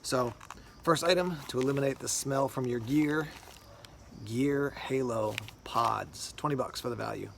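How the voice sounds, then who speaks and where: A man talks through products like an unboxing host, informal and conversational. His mid-range voice has a noticeable gravelly creak. There is light noise behind him as he explains each item casually. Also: medium pitch, a little slow.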